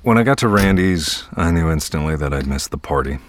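A weary, troubled man in an audio drama, with a very deep voice. He speaks tersely and bluntly, plain-spoken, with noise and ambience behind him. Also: lively intonation, a little fast. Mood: weary, brooding. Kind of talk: first-person audio drama narration and dialogue.

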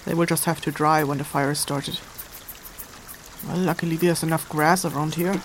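A woman's voice: low-pitched, fairly even intonation, slight background noise, lightly acted. A woman acting a practical, commanding but caring rune-wielding traveller in a fantasy audio drama. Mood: muttering, grumbling. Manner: brisk, commanding.

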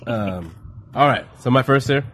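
A man's voice: medium pitch, lively intonation, unhurried, a bit bright, slight background noise. A man co-hosting a casual comedic game chat, joking and laughing often. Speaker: co-host.

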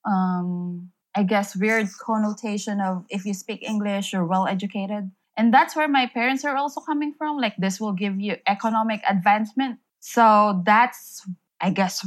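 Thoughtful Filipino woman writer. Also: mid-range voice. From Philippines. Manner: thoughtful, many ums, laughs often. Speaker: guest writer. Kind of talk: casual interview chat.

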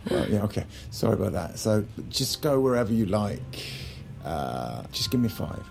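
Creaky, awkward estranged husband. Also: male voice, very animated, moderate pace, music in the background. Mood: evasive, sheepish. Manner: stammering, evasive, low-key. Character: awkward, caught-off-guard separated husband. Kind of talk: naturalistic audio drama acting.

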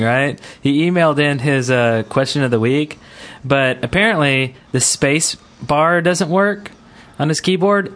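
A man's voice: a little high, fairly bright tone. A man co-hosting a casual podcast, chatting in a jokey way and laughing readily as he talks. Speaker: podcast co-host.

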